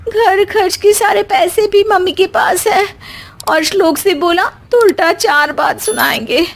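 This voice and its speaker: A woman's voice: extremely high pitch, measured pace, gravelly, voice pushed up, exaggerated voice. A woman voicing a feisty, grumbling young Indian daughter-in-law in a cartoon, exclaiming and complaining with indignant drama. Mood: flustered.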